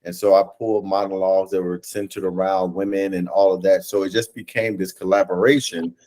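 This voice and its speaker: Animated American man, low register, unscripted storyteller in a remote interview. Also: a little fast, low-quality recording. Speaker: theatre director and writer, interview guest. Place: indoors, on a video call.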